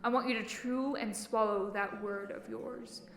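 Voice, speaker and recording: A woman's voice: on the high side, steady tone, measured pace, fairly bright tone, echoey room. Woman acting a wounded, bitterly defiant woman. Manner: brooding, emphatic. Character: wounded, defiant woman nursing an old insult. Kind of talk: intense dramatic monologue. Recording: music bed behind voice.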